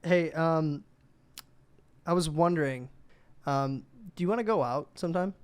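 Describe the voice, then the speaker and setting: A woman's voice: sits low, a little slow, clear, resonant tone. A woman, chatting casually and slangily as a podcast host. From US Midwest. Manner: laughs often, slangy. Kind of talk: casual chat.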